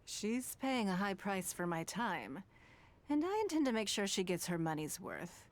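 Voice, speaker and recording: A woman's voice: mid-range voice, sing-song, normal speed, close to an everyday voice. An actress playing a poised, self-assured wealthy businesswoman in a video game, courteous and shrewd, with composed, formal diction. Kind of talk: scripted video game voice acting.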